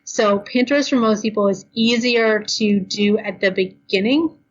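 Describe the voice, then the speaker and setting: A woman's voice: mid-range voice, highs cut off. Tired, chatty woman giving blog advice. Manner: chatty, fast, lots of ums and you knows. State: tired, losing her voice. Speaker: blogger guest. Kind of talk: podcast interview, casual advice.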